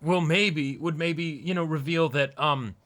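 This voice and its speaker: A man's voice: on the high side, a little slow, fairly bright tone. A man co-hosting a casual anime discussion, talking informally and rephrasing as he goes. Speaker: co-host of an anime reaction show.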